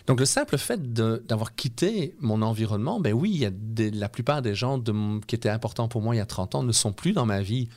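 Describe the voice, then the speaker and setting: A man's voice: medium pitch, lively intonation, a little fast, few pauses, darkish tone. A middle-aged man from Belgium with a Belgian French accent, a guest in an interview explaining his ideas in an earnest, persuasive way. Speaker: guest speaker. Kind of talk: interview conversation.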